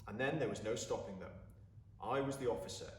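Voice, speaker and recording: A man reading a story aloud with expressive, deliberate, dramatic phrasing. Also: on the low side. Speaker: story reader. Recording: roomy, distant mic, faint background noise.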